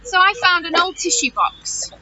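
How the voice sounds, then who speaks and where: Female children's presenter, high swooping voice, chatty and questioning, for simple activities with little ones. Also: moderate pace. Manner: animated, questioning, exaggerated gasps and wonder for children. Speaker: children's teacher hosting story follow-up activities. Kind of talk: chatty talk addressed to young children. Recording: dull audio with loud noise and clicks.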